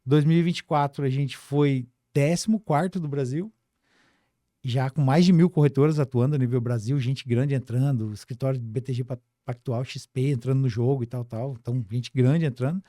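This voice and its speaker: A man's voice: mid-range voice, steady tone, normal speed, dark tone, no room sound, studio quality. A Brazilian man speaking as a business guest on a casual podcast, colloquial and full of little tag questions. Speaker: podcast guest, financial services business director.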